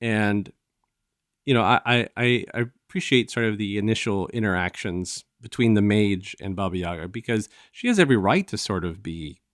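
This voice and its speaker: A man with a fairly low voice hosts a casual review discussion. He retells the story conversationally, stopping and restarting, and slips into snatches of dialogue. The sound is dry, with little room around him. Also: lively intonation, moderate pace, no echo. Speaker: host of a review show.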